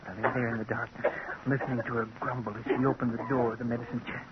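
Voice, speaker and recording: A man's voice: medium pitch, flat delivery, fast, natural voice. An actor playing a henpecked, scheming middle-aged husband in a vintage radio drama, intense and brooding as he narrates and talks. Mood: tense, scheming. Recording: muffled, hissy vintage radio recording.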